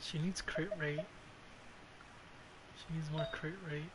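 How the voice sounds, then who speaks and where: A man's voice: on the high side, flat delivery, takes it slowly. A man giving casual gameplay commentary as a streamer, blunt and foul-mouthed, often muttering to himself. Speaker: game streamer. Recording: clearly noisy background.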